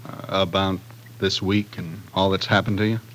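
An actor playing an easygoing, protective brother in an old-time radio drama, gentle and slightly halting. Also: male voice, low-pitched, flat delivery, a little hiss or hum, poor audio. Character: easygoing, protective, concerned older-brother type. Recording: vintage radio broadcast.

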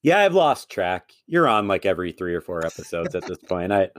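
A man's voice: deep voice, big pitch swings, a little fast, no echo, clean studio sound. A man co-hosting a casual podcast, teasing his guest and joking through the banter. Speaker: podcast co-host.

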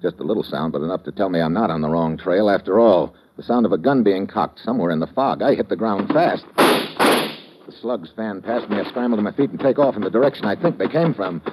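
An actor playing a wry, hard-boiled American investigator, terse and deadpan, narrating an old-time radio thriller. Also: male voice, fairly low pitch, a little fast, a little hiss or hum, natural voice. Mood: tense, terse. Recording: muffled vintage radio recording, dramatic music.

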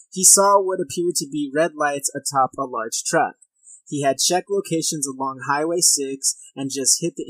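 A thirty-year-old man hosts a podcast with an informal, self-deprecating manner. His voice sits at an ordinary male pitch. It is recorded close and dry, with no room around it. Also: a bit bright, dry recording, poor audio. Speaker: podcast host. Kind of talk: casual podcast talk and reading of accounts. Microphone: headset, recording at own setup.

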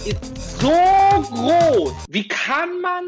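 Music plays behind a woman with a high, swooping voice. She delivers an exasperated rant slowly and emphatically, repeating herself for weight. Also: sing-song. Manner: repetitive, emphatic.

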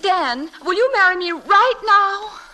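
An actress playing a tender, devoted young schoolteacher in an old-time radio drama, speaking with emotional exclamations and endearments. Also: female voice, very high-pitched, expressive, a little slow, pushed and bright, a little hiss or hum, heavily acted. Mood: anxious, loving. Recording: vintage radio drama with music.

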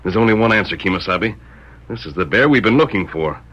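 An actor playing a calm, commanding masked cowboy hero in a vintage radio western, with crisp, authoritative phrasing. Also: male voice, low-pitched, quick pace, dull audio, exaggerated voice. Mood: intent.

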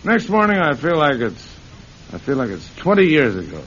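Brash, lively wisecracking American crook. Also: male voice, fairly high pitch, normal speed. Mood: confident storytelling. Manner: slangy, brash, bossy. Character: cocky veteran con man and thief, wisecracking. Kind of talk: old-time radio crime drama acting and narration. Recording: muffled, hissy old radio broadcast.

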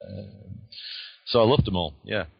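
A man in his sixties with a Lancashire accent answers interview questions, his very deep voice modest and unhurried. He hesitates often with small fillers, and the recording sounds dull and lo-fi. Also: vocal fry, slightly rough. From Lancashire, England. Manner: modest, chatty, hesitant with "uh" and "I mean". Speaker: guest author.

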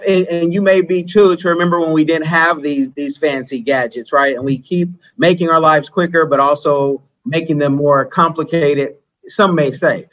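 A man's voice: a little high, fairly bright tone. A man hosting an interview in a casual, conversational way, on lo-fi audio. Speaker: interview host.

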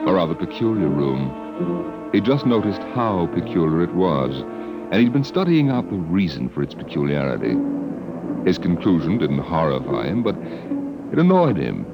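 A man narrating an eerie classic radio drama in a deliberate, dryly ominous, dramatic way. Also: deep voice, sing-song. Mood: wry, ominous. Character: dryly ominous male storyteller of eerie science fiction tale. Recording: dull old radio recording. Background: prominent music.

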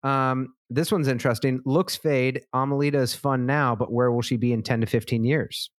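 A man co-hosting a podcast in casual chat, relaxed and swearing freely as he reads out listener responses. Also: mid-range voice, moderate pace, dry recording, studio quality. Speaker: podcast co-host. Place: lives in a big city.

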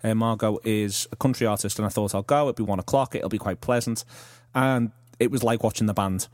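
A man's voice: fairly low pitch, steady tone, a little mellow, dry recording, clean studio sound. A man with a Liverpool accent hosting a podcast, telling stories in a wry, talkative way and introducing songs. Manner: quick, wry, talkative storyteller. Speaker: podcast host. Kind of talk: casual chat and music introductions.

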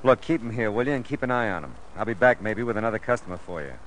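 Guy acting as wisecracking radio private eye, quick and clipped, swingy delivery, hissy old recording. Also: lowish voice, expressive, lightly acted, low-quality recording. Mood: businesslike. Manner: clipped, wisecracking, world-weary. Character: tough, wry private detective, protective man.

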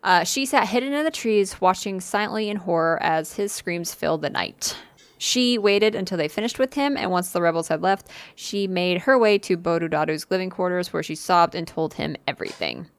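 A woman's voice: lowish voice, normal speed, smooth, no echo. Woman reading a spooky story aloud. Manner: reads with occasional fillers and asides. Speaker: podcast co-host reading listener stories. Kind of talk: casual storytelling read-aloud.

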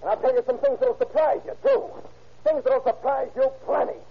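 A cornered bootlegger snarls his defiance in a 1930s radio drama. His voice is high and gravelly and swings wildly up and down. It comes through a muffled old broadcast recording. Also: very animated, a little fast, exaggerated voice. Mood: sly. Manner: sneering, defiant. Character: cornered male bootlegger, defiant then sly.

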